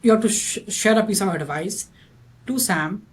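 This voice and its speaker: A man's voice: sits high, moderate pace. A man with a thick Indian accent hosting a podcast interview, asking questions with many restarts and a habit of adding "right?". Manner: restarts sentences often, tags "right?". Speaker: podcast host.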